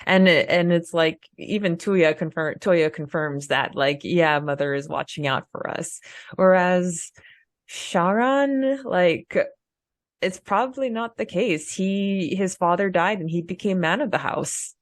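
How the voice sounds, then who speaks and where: Low-voiced woman co-hosting a podcast. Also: unhurried, no echo. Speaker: podcast co-host. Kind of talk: book discussion podcast, partly read summary.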